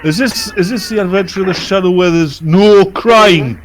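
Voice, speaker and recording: A man's voice: high-pitched, moderate pace, a little hiss or hum. A man co-hosting a comedy podcast, riffing casually in a teasing, crude way, with other voices and old radio playing alongside. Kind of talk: comic riffing, casual chat.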